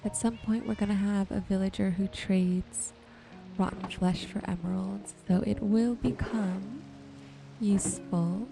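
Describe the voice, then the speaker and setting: Slow-talking woman, ASMR gaming commentary over music. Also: medium pitch, fairly even intonation, creaky. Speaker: gaming streamer and host. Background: music and rain sounds.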